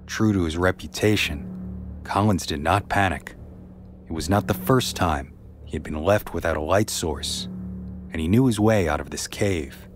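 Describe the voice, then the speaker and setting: A man narrating a scripted true story as a podcast host, in a measured, somber way. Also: sits low, music in the background.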